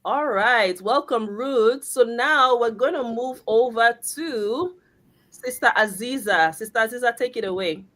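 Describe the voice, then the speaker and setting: A woman's voice: fairly high pitch, unhurried, fairly bright tone. A woman hosting a live video call, talking in an upbeat, motivational way. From Canada. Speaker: host. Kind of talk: live stream group chat.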